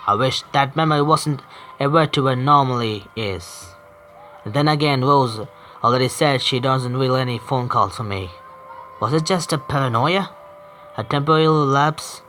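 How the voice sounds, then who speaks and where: A man's voice: medium pitch, normal speed, pushed and bright, slight background noise. A man streaming himself reading a visual novel aloud, often stumbling and correcting himself.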